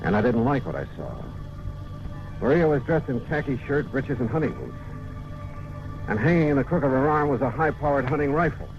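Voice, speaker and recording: A man's voice: mid-range voice, very animated, a little fast, pauses often, dull audio. A man playing a wry, probing insurance investigator who questions people and narrates his case, in 1950s radio drama style with clipped delivery. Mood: grim narration. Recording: band-limited old radio recording with music.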